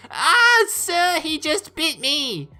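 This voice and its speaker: A man's voice: very high-pitched, very animated, very slow, bright, forceful tone, soft background music, pitched above the performer's own voice, exaggerated voice. A man voicing a whiny, lying twelve-year-old boy who sobs and exaggerates as he tells tales in a story. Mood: screaming. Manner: sobbing, pleading exaggeration.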